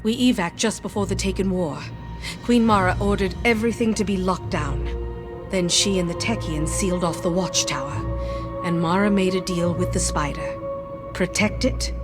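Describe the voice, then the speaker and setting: An actress playing a solemn, loyal warrior in a video game, speaking in a formal, measured, earnest way. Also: female voice, fairly low pitch, natural voice. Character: solemn, loyal woman warrior sworn to her queen. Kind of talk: dramatic video game cutscene acting.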